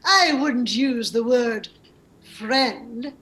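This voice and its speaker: A woman's voice: a little high, drawn-out words, a bit bright. A woman playing a haughty, easily affronted English lady in a comic radio play, melodramatic and indignant. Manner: melodramatic, indignant, posh exclamations.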